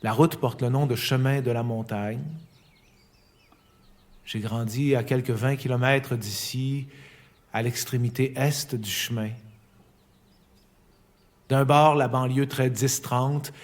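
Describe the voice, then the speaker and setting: Man, Quebec French accent, mid-pitched voice, intimate unhurried letter reading. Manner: calm, reflective, intimate. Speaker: letter writer. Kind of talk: reading a personal letter aloud. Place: recording studio. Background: faint music.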